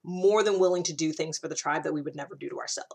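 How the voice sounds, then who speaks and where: A woman's voice: deep voice, quick pace, vocal fry, darkish tone, slightly rough. A woman giving a casual explanatory monologue, thinking aloud in a conversational way and leaning on key words. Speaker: personality typing vlogger.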